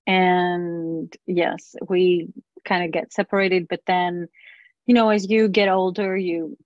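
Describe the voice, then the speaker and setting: A woman chatting as an interview guest, relaxed and talkative. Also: lowish voice, takes it slowly, no echo, lo-fi sound. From Greece. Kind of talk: casual interview chat.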